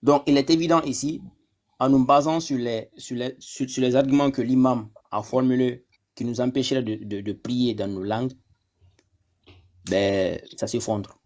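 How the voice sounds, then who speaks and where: Guy explaining his side on a live chat, reads stuff out, trails off quiet at the end. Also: mid-range voice, steady tone, moderate pace. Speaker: debate panelist. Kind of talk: live discussion, reading sources aloud.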